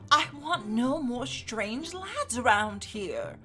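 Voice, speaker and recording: A woman's voice: fairly high pitch, huge pitch swings, a little slow, fairly bright tone, faint music behind, full character voice. A woman voicing a fretful, scolding giant's wife in a read-aloud fairy tale, fussing in a raised voice.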